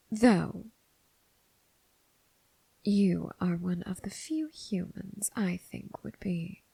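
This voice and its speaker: Woman doing a teasing demon seductress for asmr, super breathy whisper, real slow and low, coaxing and possessive. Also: a little mellow, no room sound, full character voice, poor audio. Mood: commanding, seductive. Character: seductive female demon, predatory, teasing, possessive deal-maker. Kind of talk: ASMR roleplay, intimate whispered monologue addressed to listener.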